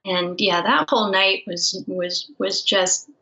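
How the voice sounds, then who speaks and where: A woman's voice: medium pitch, steady tone, slow, pushed and bright, highs cut off. A woman speaking as a musician guest in a casual interview, candid and self-deprecating.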